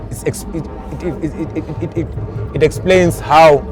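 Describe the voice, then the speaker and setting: A man chatting earnestly outdoors near traffic, often stopping to hunt for the right word. Music plays loudly behind him throughout. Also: a little high, fairly even intonation, moderate pace. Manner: searching for words, repeats himself, earnest. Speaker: interviewee, spiritual seeker. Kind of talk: casual conversation. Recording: music playing loud behind.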